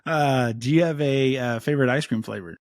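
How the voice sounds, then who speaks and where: A man hosting a relaxed podcast, his voice sounding close and dry. He fires off quick questions and laughs along with his guest. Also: mid-range voice, no room sound. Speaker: podcast host. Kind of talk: casual interview chat.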